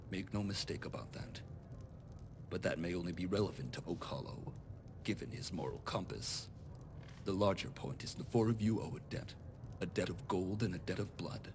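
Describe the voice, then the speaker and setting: An actor playing a cold, calculating nobleman in a video game, speaking in a formal, measured, condescending way. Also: low-pitched, lively intonation, noisy background. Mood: grim, businesslike. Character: calculating noble bastard son demanding repayment, cold and composed. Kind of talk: video game mod voice acting.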